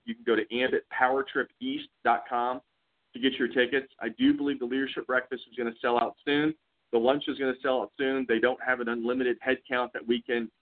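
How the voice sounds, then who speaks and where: Man hosting over a tinny phone line. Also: medium pitch. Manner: upbeat, rambling, lots of fillers. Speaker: conference call host. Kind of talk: motivational business call.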